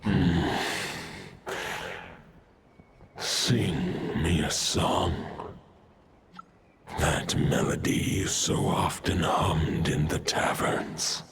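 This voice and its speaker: An actor playing an ancient wolf god saying a calm farewell in a slow, grave, half-whispered voice. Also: male voice, low-pitched, vocal fry, heavily acted. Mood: gentle. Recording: game audio with noisy ambience.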